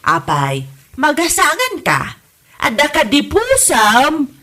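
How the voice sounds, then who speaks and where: A woman's voice: high voice, huge pitch swings, slow pace, pushed and bright, heavily acted. A woman playing an older Ilocano widow in a comedy drama, coy, huffy and given to teasing exclamations. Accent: Filipino, Ilocano.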